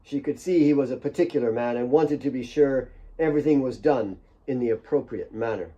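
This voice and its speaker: Male fiction narrator, medium pitch, warm relaxed tone, measured dramatic delivery. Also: dull audio. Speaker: author reading own novel.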